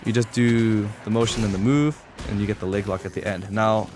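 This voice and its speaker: A man's voice: on the low side, steady tone, fairly quick. A man giving an unscripted fighting game tutorial in a casual, explanatory and somewhat hesitant way. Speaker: competitive gamer demonstrating a character.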